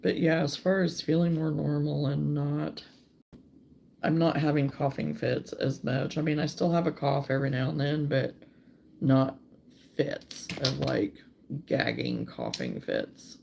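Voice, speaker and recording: Woman, live-stream host, hoarse and froggy, with gravelly fry; relaxed, chatty, muttering as she works, faint noise behind. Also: low voice, a little slow. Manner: relaxed and chatty, mutters to herself while working. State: hoarse, froggy voice from a recent cold. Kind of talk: casual chat while crocheting, answering viewers.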